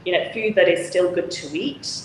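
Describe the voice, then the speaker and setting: A woman's voice: on the low side, normal speed. A woman from Manchester, a charity founder speaking frankly and chattily as an interview guest over a video call. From Manchester, England. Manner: frank, chatty, laughs often. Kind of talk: conversational interview. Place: charity office with volunteer lockers. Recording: echoey room with faint noise.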